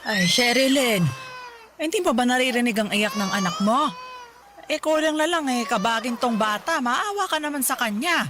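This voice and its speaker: A woman's voice: on the high side, animated, moderate pace, background noise, natural voice. A woman playing a caring but scolding old grandmother in a Filipino radio drama, speaking with reproachful, pleading emphasis. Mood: chiding.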